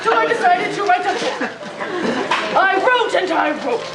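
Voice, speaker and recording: A woman acts out a boastful, crude naturalist delivering a theatrical comic monologue. The voice sits high and declaims with mock gravity. It rings through an echoey restaurant room over a very loud crowd. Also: lively intonation, normal speed, over loud music. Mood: mock-serious. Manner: grandiose, declamatory, mock-serious. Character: Charles Darwin parody, boastful, crude old naturalist. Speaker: friend performing a birthday monologue. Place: indoors in a busy sushi restaurant. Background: loud crowd chatter and laughter.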